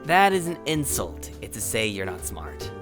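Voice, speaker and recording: A woman's voice: rumbling low, very animated, moderate pace, music in the background. A woman playing a teasing, sardonic young woman in a fan audio drama, playful and informal.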